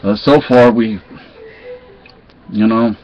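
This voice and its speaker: A man's voice: fairly low pitch, steady tone, slow pace, darkish tone. A man from Texas with a Southern accent, telling rambling, folksy stories in a casual vlog, often restarting his sentences. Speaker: vlogger. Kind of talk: casual storytelling chat. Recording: dull lo-fi sound.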